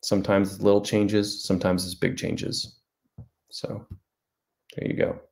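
Gravelly low-voiced man chatting on a livestream. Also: level tone, a little slow, darkish tone. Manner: rambling, self-deprecating, many fillers. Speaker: author hosting a livestream. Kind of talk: casual livestream chat answering viewer comments. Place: small room at home, indoors. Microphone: webcam at desk.

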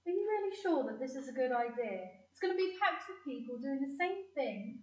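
A woman's voice: sits high, expressive, a little fast, darkish tone, recorded from a distance. A woman with an English accent playing an anxious, fretful member of a family in a community audio play, worried and questioning. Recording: muffled echoey room, away from mic.

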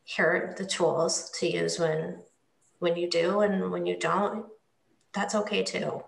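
A middle-aged woman with a lowish, gravelly voice, moderating a panel from home over a laptop webcam. She speaks gently, repeats words as she goes, and asks follow-up questions. Her voice bounces around an echoey room. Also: little pitch movement, slow pace. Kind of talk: moderating a panel on video call. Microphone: laptop webcam on video call.

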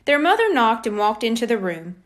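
A woman reading a children's storybook aloud in an expressive narrating style. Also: on the high side, fairly quick. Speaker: audiobook reader.

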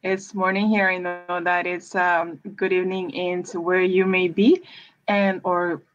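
A woman's voice: lowish voice, flat delivery, measured pace, soft background music. A woman, a guest chatting over a video call, hesitant with many restarts. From El Salvador. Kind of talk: casual interview chat. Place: room with brick wall. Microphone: webcam on a video call. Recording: dull lo-fi sound.

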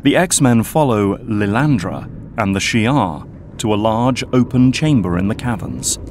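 A man giving scripted narration for an audio drama in an even, descriptive manner. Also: fairly low pitch, a little slow, background noise.

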